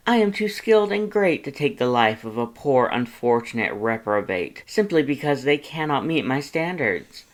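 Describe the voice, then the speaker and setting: A haughty skeleton lord from a storybook, proclaims in a loud, raised voice. He is vain and grandiose, with pompous, flowery phrasing. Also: a little high, voice pushed up. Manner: pompous, declamatory, flowery formal speech. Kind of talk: theatrical storybook character acting.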